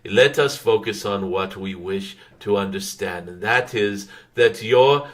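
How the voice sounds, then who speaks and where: A man channeling a wise, gentle dragon spirit, giving spiritual guidance in a halting, formal way with frequent humming pauses. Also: fairly low pitch, natural voice. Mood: calm, earnest. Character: wise, gentle dragon spirit addressing followers as dear ones.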